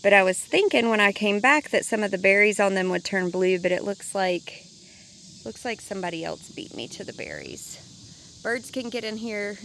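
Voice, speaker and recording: Brief: hoarse woman, congested, middle-pitched, easygoing garden walk-and-talk outdoors, faint background hiss. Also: moderate pace. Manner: chatty, relaxed, thinking aloud. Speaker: gardening vlogger. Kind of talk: casual walk-through chat.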